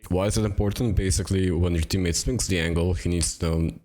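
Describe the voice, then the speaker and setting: A young man explaining a game tactic in a relaxed, rambling way, sounding raspy and low on energy from a cold. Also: a little fast. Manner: relaxed and rambling, with many fillers. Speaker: gaming video creator. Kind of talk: casual tutorial narration. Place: home apartment.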